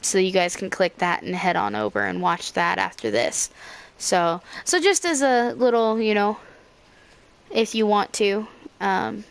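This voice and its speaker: A woman's voice: medium pitch, vocal fry, clean tone. A young woman giving casual, rambling gameplay commentary as a let's play host. Her voice is slightly scratchy. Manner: rambling, with frequent fillers and self-corrections.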